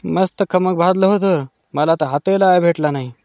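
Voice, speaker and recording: Cartoon village friend played by a man: rustic male, rural Maharashtrian accent, raised pitch, dry dull sound. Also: moderate pace, dry recording. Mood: regretful. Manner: colloquial, rustic.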